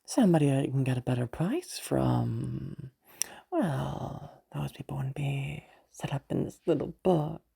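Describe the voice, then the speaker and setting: Woman doing a teasing demon lady, roleplay audio, super husky and creaky, drops into whispers, patient and sly. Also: a bass voice, mellow, exaggerated voice. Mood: smug, bargaining. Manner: slow, teasing, halting delivery with pauses.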